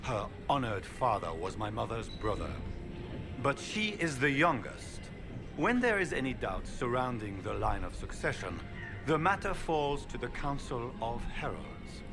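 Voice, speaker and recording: An actor playing a proud, ambitious duke in a video game, speaking in a genial, grandiose, courtly way. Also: male voice, mid-range voice, sing-song, unhurried. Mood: wry, confident. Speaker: duke claiming the throne. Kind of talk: video game dialogue acting. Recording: music behind the voice. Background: game music.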